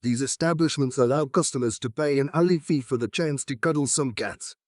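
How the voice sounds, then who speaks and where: A man's voice: mid-range voice, a little fast, no echo. Man reading aloud, very dry sound. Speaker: reader of practice exam passages. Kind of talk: scripted read-aloud.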